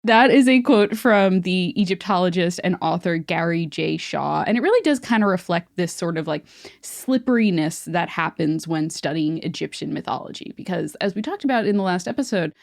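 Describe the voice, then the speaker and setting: Podcast co-host, woman, low-pitched, casual explainer, dry studio sound. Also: animated, normal speed, creaky, dry recording. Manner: chatty, explanatory, with filler "uh" and "like". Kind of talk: casual chat, explaining mythology.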